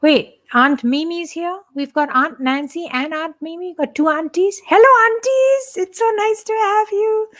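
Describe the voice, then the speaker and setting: A woman's voice: extremely high pitch, measured pace, few pauses, a bit bright. Chatty woman with an Indian accent. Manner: chatty, playful, jokey asides to viewers. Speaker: church staff host of a live stream. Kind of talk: casual live-stream chat.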